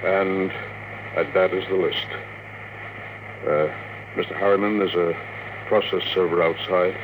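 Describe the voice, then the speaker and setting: Nervous polite yes-sir assistant, kinda low voice, noisy old radio play. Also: male voice, steady tone, a little slow. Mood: dutiful. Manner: deferential, hesitant. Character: deferential, hesitant male assistant to a tycoon. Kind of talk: classic radio drama acting.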